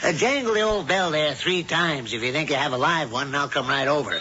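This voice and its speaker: Homespun devil-worshipping blacksmith, bright edgy voice, old muffled radio broadcast. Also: male voice, on the high side, brisk. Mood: folksy. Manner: homespun and chatty, slyly sinister. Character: folksy blacksmith warlock husband. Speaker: Jason the blacksmith. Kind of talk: 1970s radio drama acting. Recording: dull old radio broadcast with faint hiss.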